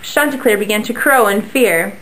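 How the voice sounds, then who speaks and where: A woman's voice: medium pitch, moderate pace, fairly bright tone, slight background noise, low-quality recording. Young woman reading a fable aloud. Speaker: narrator. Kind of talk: read-aloud storybook narration for a class project.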